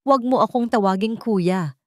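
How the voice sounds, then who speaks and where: A woman's voice: medium pitch, moderate pace, dry recording, studio quality, pitched above the performer's own voice, natural voice. A woman voicing a stern, commanding young rich man in a story, with weighty, dramatic delivery. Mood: cold, accusing.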